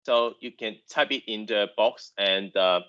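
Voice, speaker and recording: A man's voice: on the low side, unhurried, fairly bright tone, clear, resonant tone, lo-fi sound. A man whose first language is Chinese, lecturing online about engineering, who halts and repeats words with many fillers.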